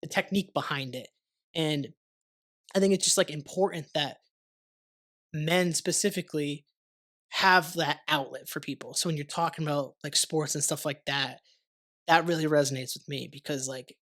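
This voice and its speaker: A man's voice: on the high side, moderate pace, a bit bright. A young man hosting a podcast, talking casually and rambling with lots of "like" and the odd swear word. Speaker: podcast host. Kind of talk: casual conversational interview. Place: warm studio under lights.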